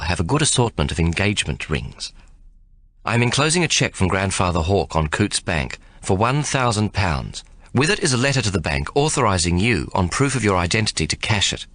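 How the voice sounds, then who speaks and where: Man reading a bossy worried sister's letter, lowish lively voice, dry close sound. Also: no room sound. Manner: fond, fretful.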